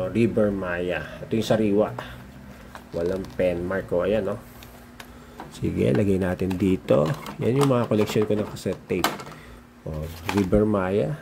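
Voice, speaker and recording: A Filipino man talks very slowly in a lowish voice, in the loose, unscripted way of a home vlogger. He halts often and mutters to himself as he goes. The recording is clearly noisy, with clicks and clatter from things being handled. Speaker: vlogger showing his cassette collection. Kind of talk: casual unscripted show-and-tell.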